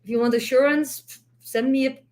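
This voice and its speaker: A woman's voice: high-pitched, fairly even intonation, moderate pace, darkish tone. A middle-aged Dutch woman with a Dutch accent, speaking English as a second language, giving earnest, encouraging vlog advice as an online business coach, with frequent restarts. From Netherlands. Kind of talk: direct-to-camera vlog advice.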